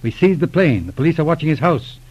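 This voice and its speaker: An earnest police inspector in an old radio serial, talks fast and formal, muffled old recording. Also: male voice, fairly high pitch, sing-song, a little mellow, breathy edge. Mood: earnest, troubled. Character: brisk, earnest male police inspector in a spy drama. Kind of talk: 1940s radio drama acting.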